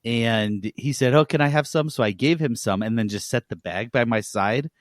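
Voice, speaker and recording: Mid-pitched man in dry casual chat. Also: normal speed, no echo. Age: adult parent. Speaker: podcast panelist.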